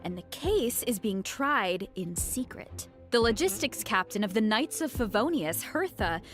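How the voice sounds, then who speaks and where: A woman's voice: fairly high pitch, sing-song, unhurried. A woman presenting a program in a scripted conversational style. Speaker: presenter of a game special program. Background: music.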